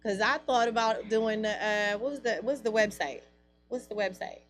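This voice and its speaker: A woman co-hosting a radio talk show, blunt and animated, laughing as she tells stories. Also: a little high, moderate pace, faint music behind. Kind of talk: casual chat.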